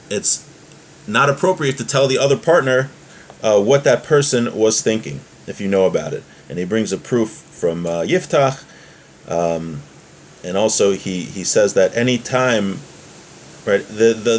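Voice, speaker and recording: A man with a mid-range voice teaches a religious legal text. He explains it informally, often hesitating as he goes. The recording has noticeable background noise. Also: medium pitch. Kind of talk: text lesson, reading aloud then translating and explaining.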